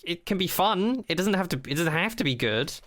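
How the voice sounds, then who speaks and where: A man's voice: high voice, expressive, a little fast, fairly bright tone. A man co-hosting a podcast in casual unscripted chat, skeptical and opinionated, often repeating and rephrasing as he goes. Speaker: podcast co-host.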